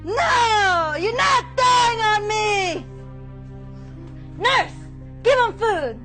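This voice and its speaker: Devoted cartoon nurse: naive, excitable, extremely high pitched with animated swings. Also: female voice, extremely slow, faint music behind, exaggerated voice. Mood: desperate. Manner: earnest, repetitive. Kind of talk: cartoon voice acting.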